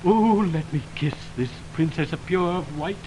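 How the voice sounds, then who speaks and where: A man's voice: fairly high pitch, lively intonation, a little slow, a little mellow. A man playing an ardent, spurned young lover, declaiming florid verse in a 1920s radio Shakespeare drama. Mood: rapturous. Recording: noisy 1929 radio aircheck from optical film.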